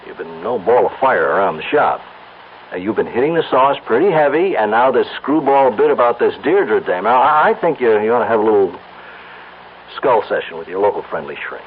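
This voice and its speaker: A blunt, slangy coworker. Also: male voice, on the low side, sing-song, fairly quick. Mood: wry. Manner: gruff slangy banter, stammers when awkward. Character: blunt, wisecracking male coworker. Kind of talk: 1970s radio drama acting. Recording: tinny old radio broadcast with faint hiss.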